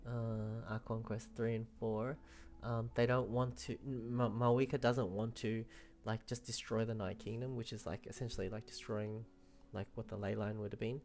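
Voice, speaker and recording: A woman giving casual, rambling reaction commentary as she streams a game, often hesitant and sympathetic. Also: very deep voice.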